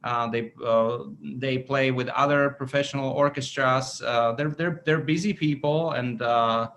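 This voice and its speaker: A man speaking as an orchestra conductor in an interview, halting and often stammering as he searches for words. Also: medium pitch, steady tone, fairly bright tone, slight background noise. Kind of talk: interview talk.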